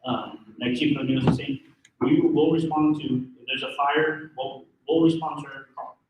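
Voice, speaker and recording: A man with a naturally loud voice gives a public safety briefing, pausing often on ums and uhs and restarting his sentences. He sounds far from the microphone in a very echoey room, with a faint hum behind him. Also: mid-range voice, fairly even intonation. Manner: hesitant, many fillers and restarts. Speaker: fire marshal office representative.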